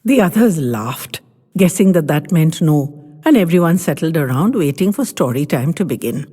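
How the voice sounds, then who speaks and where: A woman reading a family story aloud with expressive storytelling. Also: low voice, moderate pace, husky. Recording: long hall reverb.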